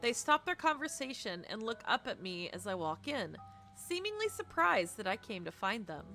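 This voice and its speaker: Bright-voiced woman reading expressively. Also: a little high, sing-song, moderate pace, faint music behind. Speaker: streamer. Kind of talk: expressive read-aloud of a visual novel with casual reactions.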